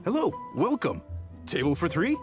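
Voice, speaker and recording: A man's voice: fairly high pitch, huge pitch swings, normal speed, over music. A man playing a proud, welcoming restaurant chef in a video game, with a hearty, theatrical delivery.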